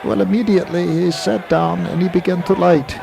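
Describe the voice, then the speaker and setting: A man playing a calm scientist in a 1980s radio drama, explaining things patiently and matter-of-factly. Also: sits high, a little fast, creaky, a crowd in the background, noisy background. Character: calm male scientist who fetched Shakespeare.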